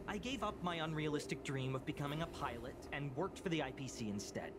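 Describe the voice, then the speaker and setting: A man's voice: on the high side, animated, brisk, lightly acted. A man voicing a jaded, whiny young office worker in a video game, complaining with exasperated drama. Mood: bitter, resigned. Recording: game audio with clear background noise.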